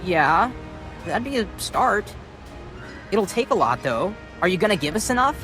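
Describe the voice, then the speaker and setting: An actress voicing a wary, defensive orphaned street kid in a sci-fi video game. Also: female voice, medium pitch, very animated, a little slow, over music, rough recording.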